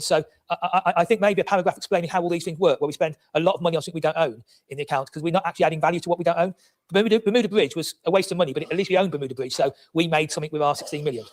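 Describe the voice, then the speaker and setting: A man speaking as a councillor in a debate, cautious and hedging his points. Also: fairly high pitch.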